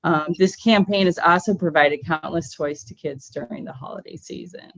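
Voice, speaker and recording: A woman's voice: lowish voice, normal speed, no room sound. Woman presenting over dry lo-fi audio. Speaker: GameSense presenter. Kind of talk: prepared meeting presentation. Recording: dry, dull lo-fi meeting audio.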